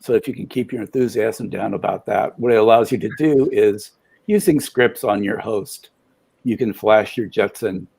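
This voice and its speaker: A man chatting as a guest on a live stream, dry and matter-of-fact, with a gravelly, husky voice. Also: fairly low pitch, darkish tone. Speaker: guest co-host. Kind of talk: casual chat.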